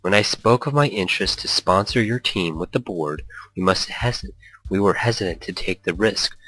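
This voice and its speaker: Guy reading as a low stern exec, formal and critical, visual novel game, faint music. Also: fairly bright tone, breathy edge, no echo, low-quality recording. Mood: stern disappointed. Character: stern, skeptical Korean businessman sponsor.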